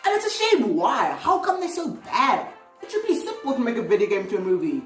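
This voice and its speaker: A woman's voice: on the high side, wildly swooping pitch, a little fast. A woman hosting a casual, opinionated video commentary in a rambling, exclamatory, conversational way. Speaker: video host. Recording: roomy, distant mic, music underneath. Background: music.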